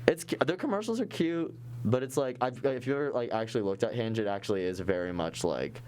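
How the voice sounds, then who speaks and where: A man's voice: medium pitch, fast talker, darkish tone. A young man co-hosting a college radio morning show, rambling and self-deprecating in casual chat. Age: young adult. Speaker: co-host.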